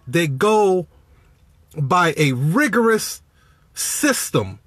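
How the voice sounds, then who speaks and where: Animated man talking in his car. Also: high voice, drawn-out words, background noise. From Chicago, United States. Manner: animated, preachy, greets viewers by name. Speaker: business coach. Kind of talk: live-stream motivational talk. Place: inside a parked car. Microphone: phone at arm's length.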